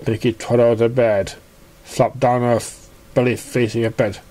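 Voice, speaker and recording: A man reading a fan fiction story aloud as narrator, haltingly, stumbling over words and starting lines again. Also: mid-range voice, little pitch movement, dark tone. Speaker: amateur story reader.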